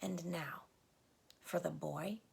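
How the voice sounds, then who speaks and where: Woman voicing a solemn, hushed lion king. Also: fairly low pitch, steady tone, vocal fry, slightly rough, exaggerated voice. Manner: grave, measured and hushed. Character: solemn, kindly great male lion king.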